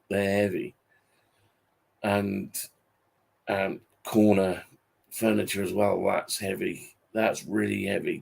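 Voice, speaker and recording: A British man in his forties talking casually and off the cuff in a halting, repetitive, grumbling way, sounding tired. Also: lowish voice, vocal fry, breathy edge. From Britain. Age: 40s. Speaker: YouTube channel host. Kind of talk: casual unscripted monologue.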